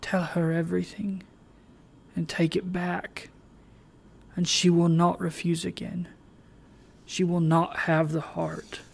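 A reader voicing a sorrowful fallen young woman, speaking in a soft, imploring way. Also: medium pitch, level tone, slow, vocal fry, pauses often, husky, heavily acted.

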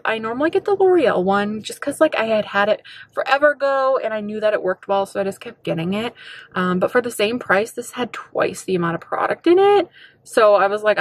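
A young woman vlogger in her twenties chatting casually to camera about her shopping haul from a parked car, bubbly and giggly with lots of fillers. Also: medium pitch. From United States. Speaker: beauty vlogger. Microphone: camera on dashboard at arm's length.

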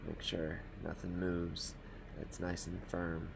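A man calmly explaining a fishing knot step by step at a desk indoors. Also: low voice, gravelly, noisy background. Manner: calm, matter-of-fact, unhurried. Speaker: fly fishing tutorial host. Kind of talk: step-by-step instructional talk. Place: small indoor room at a desk.

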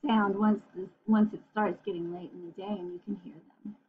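A woman reading a picture book aloud for children in an expressive, gentle way, with chatty asides. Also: medium pitch, fairly quick, slight background noise. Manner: expressive, gentle, addressing young listeners. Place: small room at home. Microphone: computer webcam at arm's length. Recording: dull, muffled webcam recording.

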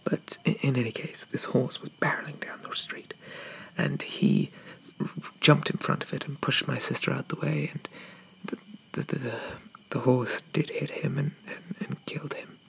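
A man playing a shy, gentle, polite undead gentleman in a hesitant, breathy, half-whispered ASMR roleplay. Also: mid-range voice, a little hiss or hum, rough recording. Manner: hesitant, apologetic, softly murmured, old-fashioned politeness.

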